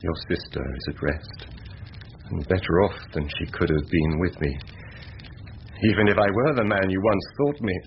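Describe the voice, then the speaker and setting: A man's voice: low-pitched, moderate pace. An actor playing a broken, ashamed man confessing his wrongs, humble and sorrowful, in a classic radio drama. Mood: ashamed, penitent. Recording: dull lo-fi radio recording with hiss.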